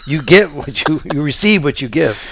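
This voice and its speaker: A man co-hosting a casual, supportive conversation, speaking haltingly as he restates and affirms what he hears. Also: on the high side, expressive, fairly bright tone, breathy edge, dry recording. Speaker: podcast co-host.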